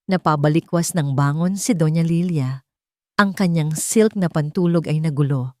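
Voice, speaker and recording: A woman storyteller reading scripted drama with suspenseful, emphatic delivery and dramatic pauses. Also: low voice, a little mellow, no room sound, clean studio sound.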